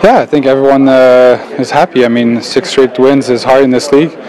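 A man's voice: mid-range voice, fairly even intonation, fairly quick, a little mellow, poor audio. A young man in his twenties giving plain, hesitant post-game answers in a locker room into a handheld mic. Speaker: hockey player. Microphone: handheld mic held by reporter.

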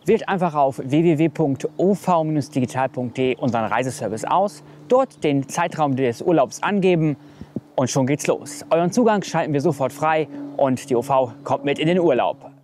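A man's voice: on the high side, animated, moderate pace, a little mellow, slight background noise. A man in his twenties delivering a scripted promotional explainer. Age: 20s. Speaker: presenter of a service tip.

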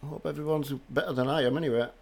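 An older man from the north of England, hoarse from a cold, chatting casually on a game live stream and greeting viewers by name through a headset mic. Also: on the high side, fairly even intonation, fast talker, a little mellow. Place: home gaming room with driving rig.

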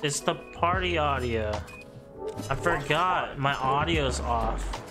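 A man's voice: medium pitch, slow pace. A young man streaming a shooter game from home, joking and rambling to his friends while he plays, with game sounds and music behind him. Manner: goofy, joking, talks to himself while playing. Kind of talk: casual gaming banter. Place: home room, webcam streaming setup.

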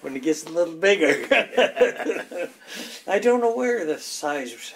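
A man's voice: sits high, expressive, slow, crowd noise. An older man, chatting casually and plainly as he explains old footage. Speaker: local commentator on old film. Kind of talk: casual reminiscing chat over old footage.

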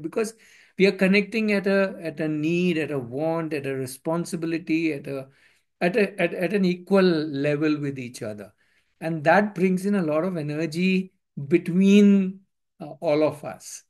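An earnest man hosts an online meetup from a room at home. His voice sits somewhat high, and he repeats phrases and slips into Hindi as he makes his points. He is heard through a headset on a video call. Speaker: meetup host. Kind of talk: casual video-call discussion.

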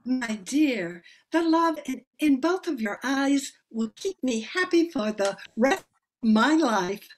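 A woman's voice: high voice, lively intonation, slow pace, full character voice. An older woman playing an affectionate, meddling aunt in a radio play, effusive and genteel, over a video call. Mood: delighted. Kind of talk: old-time radio play acting. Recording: faint noise on video call.

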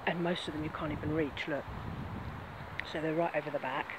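A woman's voice: sits low, fairly even intonation, brisk, heavy background noise. A middle-aged woman chatting casually as she walks outdoors on a grassy field, pointing things out, recorded on a phone held close. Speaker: vlogger. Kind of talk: casual walk-and-talk vlog. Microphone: phone camera held close.

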